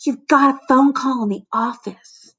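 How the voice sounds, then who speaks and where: A woman voicing a wobbly, swooning female school librarian in a weak, sighing, halting manner. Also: sits high, bright, forceful tone, lo-fi sound, pitched above the performer's own voice, full character voice. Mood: faint, wobbly.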